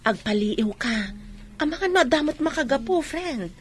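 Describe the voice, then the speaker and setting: A woman's voice: high-pitched, expressive, moderate pace, a little hiss or hum. A woman playing a nosy, concerned friend in an Ilocano audio drama. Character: nosy, concerned female friend of a troubled wife.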